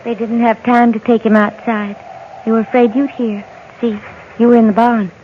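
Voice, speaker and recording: A woman's voice: a little high, little pitch movement, normal speed, lightly acted. Tinny-sounding, lonely frontier girl played by a woman. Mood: eerily matter-of-fact. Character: pretty, lonely, disturbed frontier girl, childlike and pleading. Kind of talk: 1950s radio western acting. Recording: tinny, noisy old radio recording.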